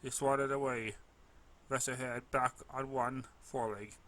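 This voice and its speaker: A man reads a first-person story as its narrator. His mid-range voice is bright and forceful, and he delivers the lines expressively, occasionally stumbling over a word. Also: mid-range voice, fairly even intonation, measured pace.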